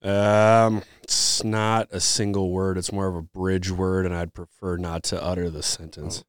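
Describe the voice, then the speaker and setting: A man's voice: low-pitched, level tone, a little slow, clear, resonant tone, no echo, studio quality. A man with an American accent chatting casually as co-host of a sports podcast. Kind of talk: casual chat.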